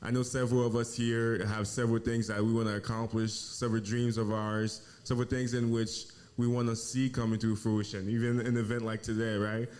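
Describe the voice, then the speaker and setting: A man from Haiti, a financial planner, talking in an earnest, measured way as a guest. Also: medium pitch, level tone, darkish tone. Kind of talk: casual interview.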